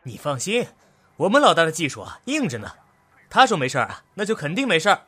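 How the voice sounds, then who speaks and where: Loyal young assistant, lightish animated voice. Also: male voice, fairly high pitch, fast talker, slightly rough, full character voice. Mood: reassuring. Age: young adult. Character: young male vet assistant, loyal and earnest. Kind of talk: modern audio drama acting.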